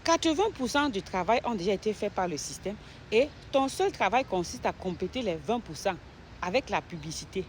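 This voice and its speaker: Woman from Cameroon, sales pitch, lively up-and-down voice, faint traffic behind. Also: a little fast. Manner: persuasive, addressing the listener directly. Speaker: nurse promoting an online business.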